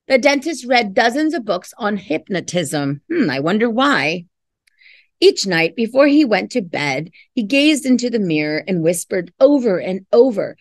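A middle-aged woman reads a children's storybook aloud in a fairly high, very animated voice. She takes her time, speaking like a teacher who explains words and puts questions to her young listeners. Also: creaky, dry recording, studio quality. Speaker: art teacher reading a picture book aloud. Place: classroom, indoors.

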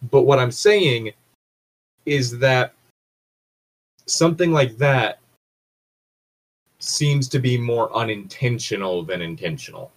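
A man's voice: medium pitch, expressive, slow, long pauses, poor audio. A man chatting casually and bluntly, swearing freely and arguing his points. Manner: profane, argumentative. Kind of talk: casual opinionated chat.

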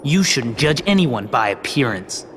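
A man's voice: medium pitch, sing-song, a little slow, background noise. An actor, a man, playing a laid-back, whiny young villain in a video game. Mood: playful, protesting. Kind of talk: video game cutscene acting.

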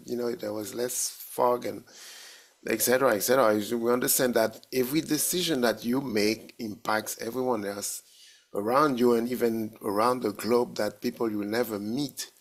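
Gravelly man talking earnestly. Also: mid-range voice, fairly even intonation. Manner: earnest, halting with fillers. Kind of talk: casual group discussion. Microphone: video call.

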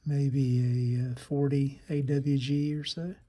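A man narrating a hands-on repair demonstration, haltingly thinking aloud as he works at a bench indoors. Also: mid-range voice, flat delivery, warm tone, husky. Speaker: host of a radio repair channel.

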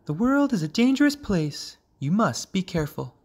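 A man's voice: high voice, big pitch swings, normal speed, pitched above the performer's own voice, exaggerated voice. A man voicing a worried, bossy mother frog in a storybook, urgently giving orders and warnings. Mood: anxious, cautioning.

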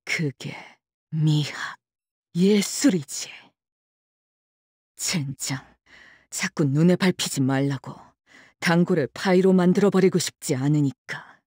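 Casting: a menacing game chef swordswoman, low and unhurried, clipped deadpan delivery, cold temper. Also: breathy edge, no echo, studio quality, heavily acted. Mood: curt, irritated. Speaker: voice actor for a game character. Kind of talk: Korean video game character voice lines.

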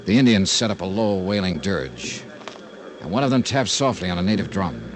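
Johnny Dollar, deep-voiced hardboiled investigator. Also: male voice, animated, moderate pace. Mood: brooding. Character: Johnny Dollar, tough, dogged male investigator. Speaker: lead actor and narrator. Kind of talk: 1950s hardboiled radio acting and narration. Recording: noisy old radio drama recording.

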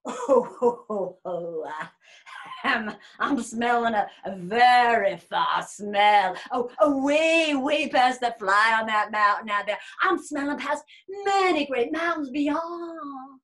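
A woman voicing a boastful, sulky male bear in a storybook, with a blustering, drawn-out and emphatic delivery. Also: on the high side, animated, fairly bright tone. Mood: gloating. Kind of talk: animated storybook reading.

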